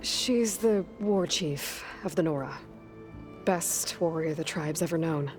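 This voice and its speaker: An actress playing a guarded, determined young huntress in a video game, with an American accent and an understated, earnest delivery. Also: female voice, low voice, slow, soft background music. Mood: reserved, caring. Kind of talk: naturalistic video game acting.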